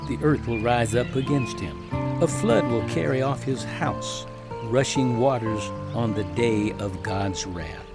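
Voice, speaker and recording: A male actor as a severe biblical accuser, indignant and declamatory. His mid-pitched voice delivers grim judgment in the manner of a scripture drama, with music clearly playing behind him. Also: unhurried, lightly acted. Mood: grim denunciation. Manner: declamatory, forceful rhetoric. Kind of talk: dramatized audio Bible acting.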